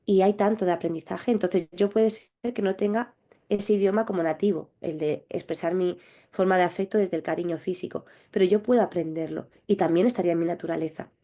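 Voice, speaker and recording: A woman sexologist answering interview questions, explaining in a measured, thoughtful way with small restarts. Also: fairly low pitch, fairly even intonation, dark tone. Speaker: clinical sexologist guest.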